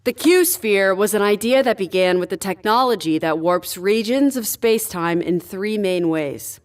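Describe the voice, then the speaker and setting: A woman playing a sharp-minded, assertive spaceship scientist in an audio drama, speaking in an articulate, direct way. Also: medium pitch, lively intonation, unhurried, lightly acted. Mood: knowing, explanatory.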